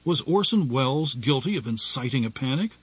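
Male documentary narrator, husky, animated intonation, scripted, dry, slightly muffled recording. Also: mid-range voice, brisk, no room sound.

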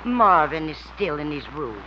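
A patient, mild mother in an old radio comedy. Her pitch moves up and down expressively. The sound is muffled and noisy. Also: big pitch swings, normal speed. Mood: gently reproving. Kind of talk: 1950s radio comedy acting.

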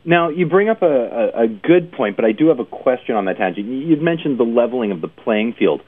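A man's voice: mid-range voice, big pitch swings, fast. A man hosting an interview in a conversational style, working through long-winded, hesitant questions. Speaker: interview host. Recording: narrow phone-like band, lo-fi internet radio.

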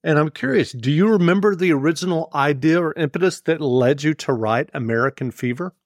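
A man's voice: a little high, brisk, dry recording, studio quality. A man interviewing an author with brief, curious questions.

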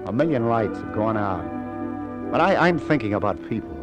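A man's voice: lowish voice, animated, normal speed, lightly acted. An American man playing a world-weary but sympathetic police lieutenant in a 1940s radio crime drama, with a clipped, wry, musing delivery. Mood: wistful musing. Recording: old radio broadcast, faint music.

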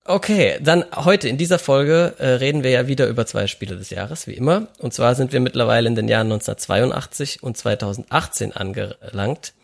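A man hosts a podcast in a relaxed, casual chat. His voice has a gravelly fry to it. He talks hesitantly, with plenty of filler sounds between his thoughts. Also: medium pitch, a little fast, hardly any pauses. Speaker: podcast host.

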